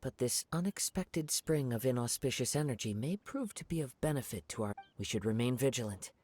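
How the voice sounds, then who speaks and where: Soft breathy young samurai. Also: male voice, mid-range voice, animated. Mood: grave, alert. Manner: measured, contemplative, formal wording. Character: calm, thoughtful young male wandering samurai, polite and observant. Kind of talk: video game character acting.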